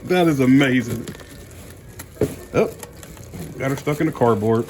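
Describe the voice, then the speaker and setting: A man's voice: medium pitch, unhurried, very noisy. An American man giving casual unboxing commentary as a car audio vlogger, talking in a folksy, slangy way.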